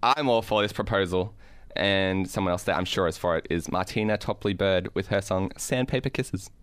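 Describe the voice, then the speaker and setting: A man's voice: low-pitched, normal speed, a bit bright, slight background noise. A man co-hosting a comedy podcast in casual banter, wry and questioning. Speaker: podcast co-host.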